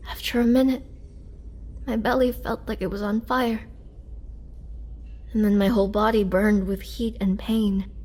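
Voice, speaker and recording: A woman playing a sorrowful, vulnerable young vampire and former farm girl, speaking hesitantly and softly in an intimate roleplay monologue, sometimes near a whisper. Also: fairly high pitch. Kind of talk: roleplay audio drama, intimate first-person monologue.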